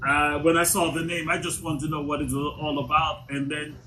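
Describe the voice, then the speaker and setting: A man from Jamaica chats on his livestream in a stop-start way, repeating words as he goes. His voice sits a bit high for a man. The stream audio carries a clear layer of background noise. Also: little pitch movement, sharp tone, rough recording. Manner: halting, repeats words, restarts often. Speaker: livestream host. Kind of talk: casual live chat.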